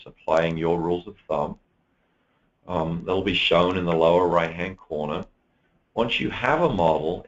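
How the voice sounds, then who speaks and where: A man with a low, deep voice walks through software features in a steady, explanatory way, now and then pausing on an um. The sound is dull and lo-fi, like a recorded online webinar. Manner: steady explanatory, occasional ums. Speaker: software presenter. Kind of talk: product webinar walkthrough.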